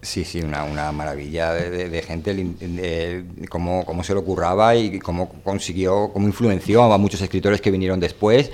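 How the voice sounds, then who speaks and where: Man, deep voice, Spanish accent from Spain, community radio host, colloquial, rambling, informal talk. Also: fairly even intonation, moderate pace, few pauses, a little mellow.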